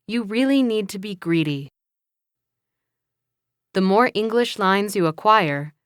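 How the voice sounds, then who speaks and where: A woman playing an encouraging but firm English teacher, speaking slowly and carefully in a scripted classroom dialogue. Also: medium pitch, fairly bright tone, smooth, no room sound, studio quality. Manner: slow, careful, instructive delivery.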